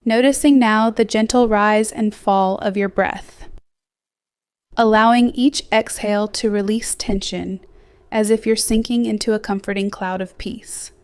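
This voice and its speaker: A woman gently guiding a sleep hypnosis meditation in a slow, soothing, scripted delivery with softly trailing phrases. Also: medium pitch, steady tone, smooth, no echo. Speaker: hypnosis guide.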